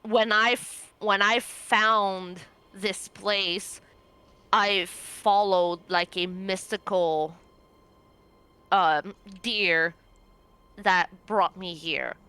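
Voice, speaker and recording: A woman chats through a tabletop roleplaying scene. Her voice is bright and smooth, and she takes her time, speaking casually as one of the players. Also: mid-range voice, fairly even intonation, extremely slow.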